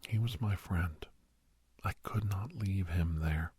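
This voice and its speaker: A man playing a middle-aged doctor who reminisces earnestly in a soft, half-whispered ASMR roleplay, breathy and halting, close to the microphone. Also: no echo, heavily acted. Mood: grave, haunted. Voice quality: breathy, husky, low. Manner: halting, hushed, dramatic pauses. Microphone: close, dry microphone.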